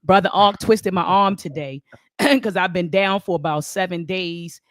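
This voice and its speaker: A man hosting a live online talk show, speaking with a deep, raspy voice that is hoarse from a sore throat, in a folksy way that calls the viewers family. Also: measured pace, fairly bright tone, no room sound, clean studio sound. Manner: folksy, addresses the audience as family. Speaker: host. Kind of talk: casual live-stream chat.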